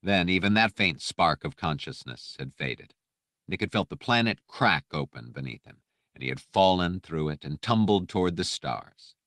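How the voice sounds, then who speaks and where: A man reading a scripted audiobook story with measured, precise, dramatic narration. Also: low voice, lively intonation, fairly bright tone, dry recording, clean studio sound.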